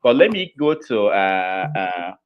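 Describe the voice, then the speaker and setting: A man's voice: lowish voice, takes it slowly, clear, resonant tone, lo-fi sound. A man hosting a casual talk show, thanking his guests and handing over to the next speaker. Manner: listens with steady mm backchannels, thanks guests. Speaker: host.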